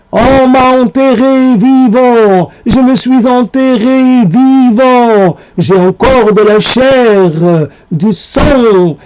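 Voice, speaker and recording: High-voiced man reciting poetry very slowly. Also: darkish tone, clear, resonant tone, muffled recording. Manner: halting delivery in short, separated phrases.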